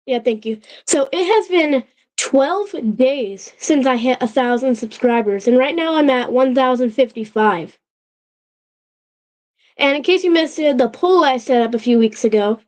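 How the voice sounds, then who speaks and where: A woman hosting a casual livestream, talking in a halting way with frequent restarts and check-ins with her chat, her voice a little off from a sore throat that is still healing. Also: high voice, lively intonation, a little mellow. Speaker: channel host.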